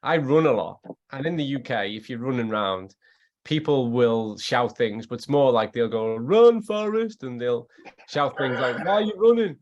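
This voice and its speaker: A man with a Liverpool accent chatting casually as an expert guest on an online call, in an effusive, animated way. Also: mid-range voice, moderate pace, muffled recording. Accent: Liverpool English. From Liverpool, England. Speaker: guest scientist and former athletes' trainer.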